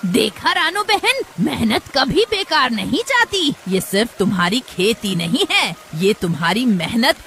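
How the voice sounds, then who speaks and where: A woman voicing a protective, earnest parrot with a creaky voice in a children's cartoon. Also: fairly high pitch, big pitch swings, unhurried, bright, forceful tone, a little hiss or hum, full character voice. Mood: proud, encouraging. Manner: earnest, moralizing. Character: protective, righteous parrot friend, scolding and encouraging.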